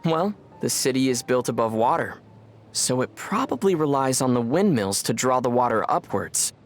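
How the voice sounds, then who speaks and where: A man playing a curious, thoughtful young traveler in a video game, measured and gently wry. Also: medium pitch, animated, slight background noise, natural voice. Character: young male traveler from another world, curious, mild and thoughtful. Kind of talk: scripted video game dialogue.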